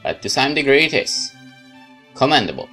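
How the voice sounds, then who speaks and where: A man narrating a horror visual novel in first person, calm, measured and brooding. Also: medium pitch, faint music behind. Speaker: let's play host narrating a visual novel.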